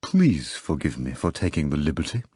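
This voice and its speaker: A man voicing a mysterious foreign gentleman with a foreign accent, polite and furtive. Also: extremely low pitch, very animated, moderate pace, slightly rough, no room sound, clean studio sound, voice pushed down, full character voice. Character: mysterious foreign gentleman, sly and deep-voiced.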